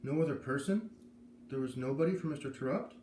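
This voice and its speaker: A man's voice: a little high, expressive, fairly quick, dark tone, close to an everyday voice. A man voicing an earnest, worried schoolboy with urgent, insistent questions in a read-aloud of a children's novel. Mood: anxious. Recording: roomy echo, noticeable background noise.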